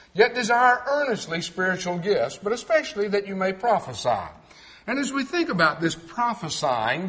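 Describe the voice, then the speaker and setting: Clipped-speaking Texan preacher, lively pitch. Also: male voice. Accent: Texan, trained toward clipped neutral speech. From Texas. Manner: clipped, clearly enunciated delivery, reads verses aloud with emphasis. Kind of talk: sermon teaching from scripture.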